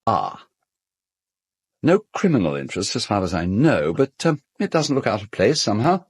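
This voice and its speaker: A man's voice: on the low side, big pitch swings, normal speed, slightly rough, no echo, studio quality, exaggerated voice. A man acting in a radio drama as a suave collector fondly showing off morbid relics, with urbane, hesitant asides. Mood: urbane relish.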